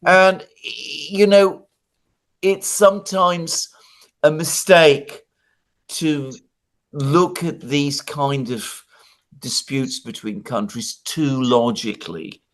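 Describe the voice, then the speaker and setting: A man from Greece giving his views in a casual discussion, animated and full of restarts, with laughs between points. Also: fairly high pitch, slow pace.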